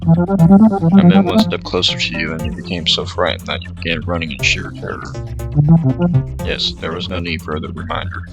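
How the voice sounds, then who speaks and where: Brief: male streamer, deep gravelly voice, reads game text aloud, loud game music behind. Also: lively intonation, normal speed, pauses often. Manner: reads the game text, with short asides of his own. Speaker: gameplay streamer reading the visual novel aloud. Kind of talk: reading game dialogue aloud over gameplay. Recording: game music playing loudly underneath.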